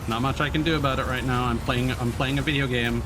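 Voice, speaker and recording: A man's voice: mid-range voice, fairly even intonation, fast, background noise. A man giving casual livestream gaming commentary, chatting as a streamer and swearing casually. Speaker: game streamer. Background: game music and racing car sounds.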